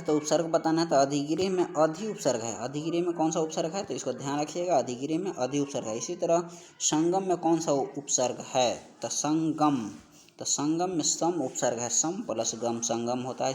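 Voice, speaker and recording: A man with a medium-pitched voice gives an exam-prep lesson. He works through practice questions in an instructive way, saying questions and answers over again so that they stick. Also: darkish tone. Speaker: Hindi grammar teacher for exam preparation.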